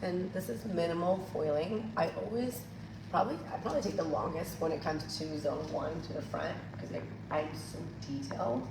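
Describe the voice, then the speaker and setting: Husky low-voiced hair educator, echoey. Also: female voice, moderate pace. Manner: chatty, addresses viewers as "you guys". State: recovering from losing her voice. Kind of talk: hands-on tutorial demonstration. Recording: echoey room, distant mic, noisy.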